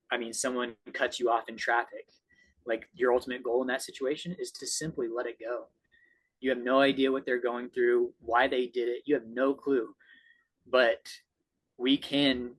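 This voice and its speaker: A man's voice: medium pitch, a bit bright, slightly rough, low-quality recording. A man in an earnest, reflective conversation on a video call, speaking as a guest. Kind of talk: conversational discussion, recommending books.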